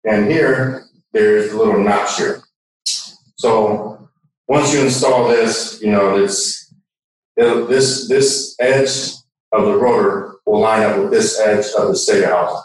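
A man's voice: lowish voice, fairly even intonation, slow. A man giving a hands-on technical demonstration, informally pointing things out as he works. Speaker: product demonstrator. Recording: distant mic in very echoey room.

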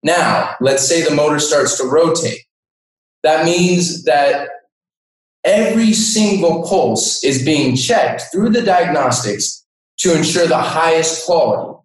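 A man's voice: on the high side, animated, slow pace. A man giving a methodical, step-by-step technical product explanation as an expert, recorded in an echoey room. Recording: echoey room, distant microphone.

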